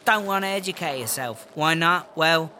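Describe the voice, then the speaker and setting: Guy doing a snooty defensive prisoner, really sharp forceful voice, bit of background noise, audio drama. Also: on the high side, expressive, moderate pace. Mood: smug, defensive. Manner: defensive, condescending, rhetorical questions. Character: smug, dismissive British inmate proud of studying. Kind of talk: casual monologue in a comedy audio drama. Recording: noisy room ambience.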